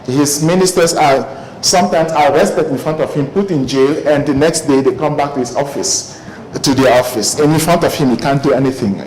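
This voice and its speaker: A man's voice: a little high, moderate pace, a little mellow, slight background noise. A man giving a talk to a live audience, thoughtful and hesitant, with many fillers and restarts. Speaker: speaker addressing an audience. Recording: echoey room.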